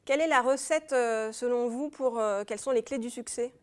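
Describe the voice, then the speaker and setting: A woman's voice: fairly high pitch, normal speed. A woman hosting a TV interview, prompting her guest and finishing his sentences. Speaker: TV host.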